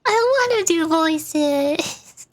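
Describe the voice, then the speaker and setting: A woman's voice: very high voice, expressive, drawn-out words. A woman chatting casually as a game streamer, playful and self-conscious, with a hesitant way of talking. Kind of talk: casual livestream chat while voicing game dialogue.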